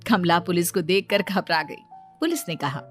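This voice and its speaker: A woman's voice: on the low side, expressive, normal speed, a bit bright, soft background music. A woman reading scripted narration for a cartoon bedtime story as its storyteller.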